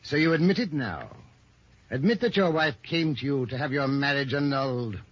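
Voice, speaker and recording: A man plays a shrewd police inspector in an old-time radio mystery. His voice is husky and swings expressively in pitch, with formal, measured authority. The recording is dulled, like an old broadcast. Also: lively intonation, no room sound, lo-fi sound, natural voice. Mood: accusing. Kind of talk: old-time radio detective drama acting.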